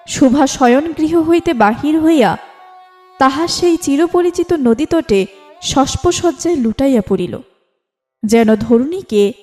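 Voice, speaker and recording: A woman's voice: high voice, a little mellow. A woman reading a scripted literary story as narrator, in a formal storytelling delivery. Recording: music bed under the voice. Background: instrumental music.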